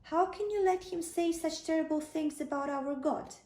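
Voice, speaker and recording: A woman's voice: high voice, normal speed, darkish tone, pitched above the performer's own voice, natural voice. A woman voicing a plucky, indignant young shepherd boy in a children's storybook. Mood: urgent, indignant. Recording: echoey room, distant microphone.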